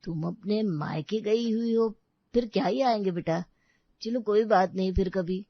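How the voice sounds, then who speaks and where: A woman's voice: mid-range voice, unhurried, no echo, muffled recording. A woman voicing a considerate, hesitant elderly uncle-in-law in a family audio drama. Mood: polite, hesitant. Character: polite, considerate elderly uncle-in-law, gently self-effacing.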